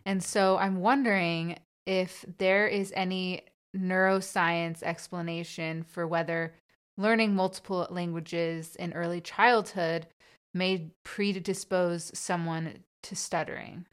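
A woman hosts a conversation, her first language Hebrew. Her voice is smooth and resonant, a little lower than most. She speaks softly and conversationally, with a few stammered sounds. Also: fairly even intonation, takes it slowly. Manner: soft-spoken, occasional stammers. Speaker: podcast host. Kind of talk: conversational interview questions.

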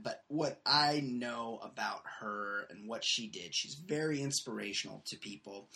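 A man hosting a casual comedy podcast, riffing with opinionated, quick takes. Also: mid-range voice, bright, forceful tone, poor audio. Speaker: podcast host.

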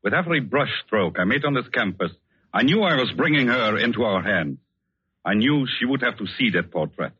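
An actor in a 1940s radio drama playing an intense, vengeful artist with a commanding, dramatic delivery. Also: male voice, mid-range voice, lively intonation, brisk, bright, forceful tone, husky. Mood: grim resolve. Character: intense, vengeful French artist bent on killing. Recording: tinny old radio recording.

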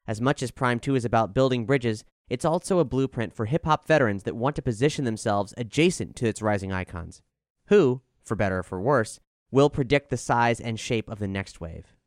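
A man narrates a scripted music review in a medium-pitched voice. His delivery is measured and articulate, with formal phrasing. The recording is dry and close, with no room sound. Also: clean tone, no echo. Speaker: album reviewer.

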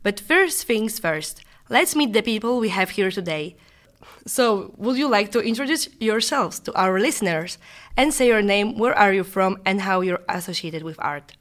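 A woman's voice: medium pitch, lively intonation, normal speed, a bit bright. A woman hosting a podcast, introducing the episode and inviting guests to speak.

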